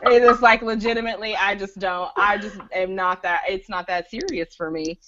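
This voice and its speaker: A woman's voice: medium pitch, normal speed, a loud crowd. A woman in her forties chatting casually on a panel, laughing and joking about herself. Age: 40s. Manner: chatty, laughing, self-deprecating. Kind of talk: casual chat. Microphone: video call. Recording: muffled, lo-fi web call audio.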